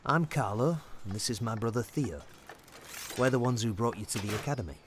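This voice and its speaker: A woman voicing a friendly, kind young male student knight with a gentle, easygoing manner in a fantasy audio drama. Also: rumbling low, expressive, a little fast, noisy background. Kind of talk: scripted fantasy audio roleplay.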